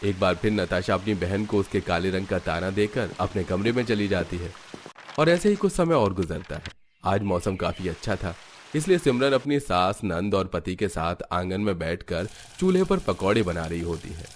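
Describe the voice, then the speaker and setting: A man's voice: low-pitched, expressive, normal speed, slight background noise. A man reading scripted narration for a cartoon family story as its narrator.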